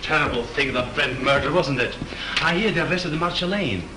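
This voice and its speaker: Guy playing a gossipy flower shop man, echoey room, loud old-film hiss. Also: fairly high pitch, fairly quick, natural voice. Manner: gossipy, chatty. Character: gossipy male florist shopkeeper. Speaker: florist. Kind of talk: 1930s film acting. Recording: echoey, noisy 1930s film soundtrack.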